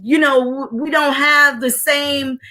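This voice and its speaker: A woman from the northeastern United States hosting a casual history discussion, talking fast and emphatically with strong opinions. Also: high voice, little pitch movement, sharp tone. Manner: fast, emphatic, opinionated, acts out quoted voices. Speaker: podcast host.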